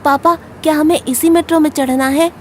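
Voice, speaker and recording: A woman puts on a curious young boy in an animated tale. The voice is high and childlike as the boy speaks. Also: normal speed, background noise, lightly acted.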